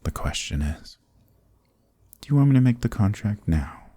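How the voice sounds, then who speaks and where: A man playing an ancient, smug, dominant archdemon in a dark fantasy ASMR roleplay, speaking slowly and deliberately with a condescending, commanding manner. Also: sing-song, no echo. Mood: condescending, menacing. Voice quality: very deep, gravelly, husky, breathy.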